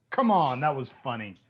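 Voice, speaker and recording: A man's voice: medium pitch, sing-song, unhurried. Man acting snide boyfriend on phone-like audio. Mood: crude, mocking. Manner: mocking, snide. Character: Kev, sarcastic, needling, crude boyfriend. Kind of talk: table read of a screenplay. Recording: thin, phone-like narrow-band sound.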